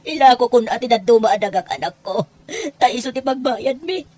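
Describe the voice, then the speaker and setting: Woman acting an excitable, high-voiced mother. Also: moderate pace, close to an everyday voice. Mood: distressed. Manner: emotional, exclamatory. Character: excitable mother, boastful about her wedding, easily distressed. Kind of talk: Filipino audio drama acting.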